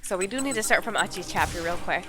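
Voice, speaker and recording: A woman's voice: lowish voice, expressive, fast talker, over music. A woman with a stuffy nose and slight cold, chatting casually and rambling with lots of fillers as she streams a visual novel. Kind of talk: casual live-stream chat.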